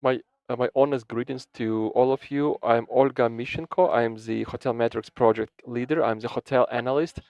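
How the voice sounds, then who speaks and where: Halting male interpreter. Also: medium pitch, fairly even intonation, gravelly, darkish tone, no echo. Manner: halting, frequent restarts and repeated words. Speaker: simultaneous interpreter. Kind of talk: live English interpretation of a panel discussion. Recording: dull, dry lo-fi sound.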